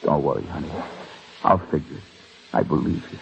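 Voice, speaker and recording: An actor playing an intense, anguished police detective with an urgent, clipped manner in an old radio crime drama. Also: male voice, a bass voice, a little fast, vocal fry. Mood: tender, weary. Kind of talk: mid-century radio crime drama acting. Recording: muffled, hissy old radio recording.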